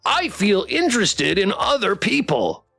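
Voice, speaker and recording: A man's voice: sits high, sing-song, measured pace, creaky, sharp tone, faint music behind, pitched above the performer's own voice, full character voice. A man voicing a condescending, blunt talking plushie toy in a visual novel game. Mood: officious. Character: snippy, condescending talking plushie toy pet with big eyes. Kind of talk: visual novel character read aloud.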